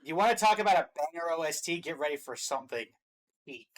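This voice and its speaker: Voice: medium pitch, animated, fast talker. A host giving casual, opinionated fan commentary in a reaction show.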